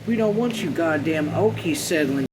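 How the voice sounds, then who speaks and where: A protective, worried country mother, acted out by a man reading a novel. The voice is pitched up and speaks in plain folk talk with feisty grit. Loud, steady air or vehicle noise surrounds it. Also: normal speed, natural voice. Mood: hesitant, grave.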